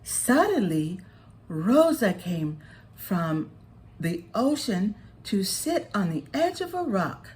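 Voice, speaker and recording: Slow, expressive woman reading a storybook. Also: mid-range voice. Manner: expressive, deliberate phrasing. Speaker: children's author reading aloud. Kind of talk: children's storybook narration.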